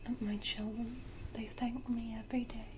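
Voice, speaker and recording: A woman voicing a proud, condescending vampire matriarch in a breathy, deliberate ASMR whisper, with theatrical pauses and close to the microphone. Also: a little high, flat delivery, noisy background, close to an everyday voice. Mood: tender, proud. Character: powerful female vampire matriarch, menacingly tender, proud, condescending.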